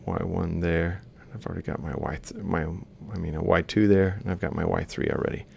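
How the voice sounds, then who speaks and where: Deep, very creaky man teaching. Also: fairly even intonation, fairly quick, darkish tone, slight background noise. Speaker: robotics lecturer. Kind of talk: explaining step by step while drawing.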